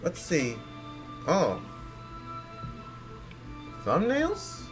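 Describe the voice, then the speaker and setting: Male gameplay streamer, playful rambling, high and very animated. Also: drawn-out words, over music. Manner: rambling, playful, trips over words. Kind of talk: casual gameplay commentary.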